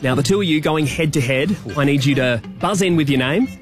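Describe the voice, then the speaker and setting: A man's voice: on the high side, fairly quick, faint music behind. A man co-hosting a radio show, running a quiz game with upbeat patter.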